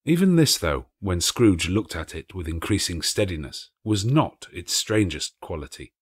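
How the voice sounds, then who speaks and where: A man narrating a classic story in a theatrical, measured way with emphatic phrasing. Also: deep voice, very animated, husky, dry recording. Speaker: audiobook narrator.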